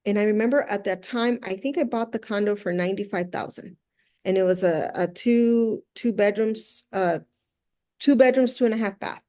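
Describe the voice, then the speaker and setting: A woman's voice: mid-range voice, normal speed, dark tone. Middle-aged woman from El Salvador, earnest storyteller. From El Salvador, moved to the US at six. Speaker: interview guest, luxury house flipper. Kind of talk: conversational interview storytelling.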